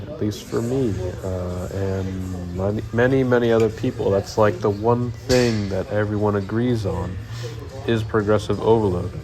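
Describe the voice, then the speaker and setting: A young man with a cold and a nasal voice, casually talking through his workout in a rambling voice-over full of ums. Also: on the low side. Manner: laid-back, rambling, many ums. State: stuffy nose from a cold. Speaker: fitness vlogger. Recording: voice-over over clear background music. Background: music playing underneath.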